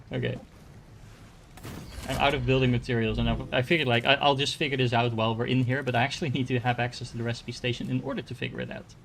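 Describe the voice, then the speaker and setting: A man's voice: mid-range voice, slight background noise. A man from the Netherlands with a Dutch accent, casually commenting on a game he is playing and thinking aloud with many fillers and restarts. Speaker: gaming streamer.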